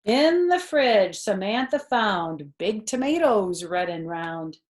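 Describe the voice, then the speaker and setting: A middle-aged woman doing a read-aloud storytime for children, explaining things simply in a deliberate way with chatty asides, recorded in a living room. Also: medium pitch, sing-song. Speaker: storyteller reading picture books to children. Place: small furnished living room, indoors.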